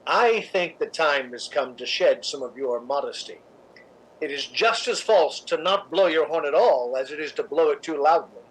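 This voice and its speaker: An actor playing a suave, cynical, scheming theatre critic in a radio drama, with an arch, measured, theatrical delivery. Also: male voice, a little high, animated, breathy edge, low-quality recording. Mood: smooth, probing. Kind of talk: old-time radio drama narration and acting.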